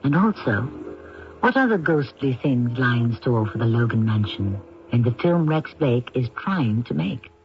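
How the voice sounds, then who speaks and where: A man playing an ominous mystery-theater host, narrating a radio drama in a measured, suspenseful way. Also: mid-range voice, very animated. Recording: muffled old radio recording. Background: faint music.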